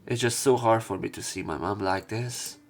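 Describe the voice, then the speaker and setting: A man's voice: fairly low pitch, normal speed. A man reading visual novel story text aloud as a let's play narrator, with some commentary. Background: game music.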